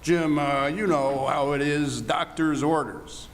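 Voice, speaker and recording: Man playing a slow, folksy dinner guest. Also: a little high, steady tone. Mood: genial. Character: folksy, agreeable dinner guest, a family friend. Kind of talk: amateur radio-play acting of a 1940s comedy. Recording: faint background noise.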